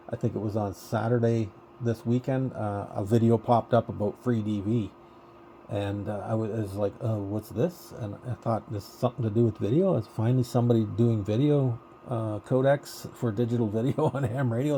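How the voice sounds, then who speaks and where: Male radio hobbyist, dark relaxed tone, casual and hesitant, thinking out loud, slightly noisy signal. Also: fairly low pitch, normal speed. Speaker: amateur radio operator. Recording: noisy amateur radio digital voice contact.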